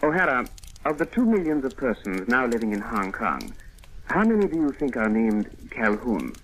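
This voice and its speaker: An actor playing a stiff, formal British colonial police commissioner in an old radio drama, with a clipped, pompous manner. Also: male voice, mid-range voice, big pitch swings, normal speed, a bit bright. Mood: dry, formal. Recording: slightly noisy old radio recording.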